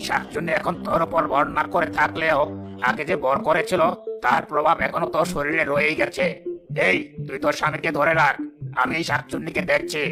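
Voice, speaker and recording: A man voicing a stern, commanding village holy man in an animated folk tale. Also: fairly high pitch, fairly quick, vocal fry, over music.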